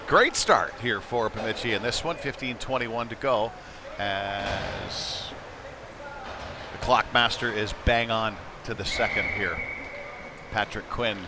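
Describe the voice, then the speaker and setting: A man doing live, conversational play-by-play commentary of youth hockey, excitable on big plays. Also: on the low side, slow. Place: indoor ice rink, live broadcast. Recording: clear background noise of sports arena.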